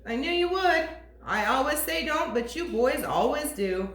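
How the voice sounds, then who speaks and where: A woman's voice: high voice, expressive, unhurried, a bit bright, reverberant, recorded from a distance. A woman voicing a fussy older man who scolds knowingly. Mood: scolding.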